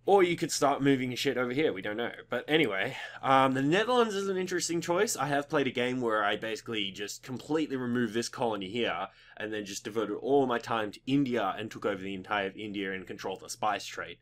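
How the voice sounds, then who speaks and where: A young man with a slightly croaky voice giving casual, rambling gaming commentary as a video host, informal and mildly sweary. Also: mid-range voice, quick pace, few pauses, fairly bright tone.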